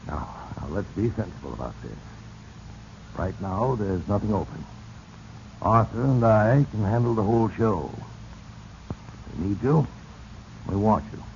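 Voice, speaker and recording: Smug executive, lowish creaky voice, condescending, muffled vintage radio recording. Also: male voice, expressive, a little mellow, slight background noise. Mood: patronizing, firm. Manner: condescending, measured. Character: smug, patronizing male company boss, quietly threatening. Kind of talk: 1940s radio drama acting.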